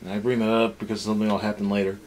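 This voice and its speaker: A man's voice: lowish voice, flat delivery, rapid-fire, darkish tone. A man giving a casual, unscripted episode review as a co-host, with a sarcastic, snarky way of talking. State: tired.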